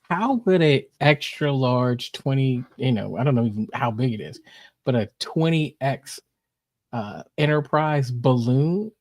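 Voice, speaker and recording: A man's voice: medium pitch, dry recording. Questioning man on a podcast, dry sound. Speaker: podcast co-host. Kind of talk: casual pop-culture podcast banter.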